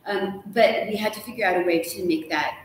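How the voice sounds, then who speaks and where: A middle-aged woman, an author guest, chatting casually and explaining things with an animated storytelling manner. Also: mid-range voice, brisk. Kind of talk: casual live conversation. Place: hotel lounge with armchairs. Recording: echoey room, distant mic, faint hiss.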